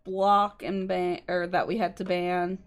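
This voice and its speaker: A woman's voice: lowish voice, level tone, unhurried. A woman livestreamer chatting casually with her co-host and viewers, explaining things politely. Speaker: game livestreamer. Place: indoor streaming setup at a computer.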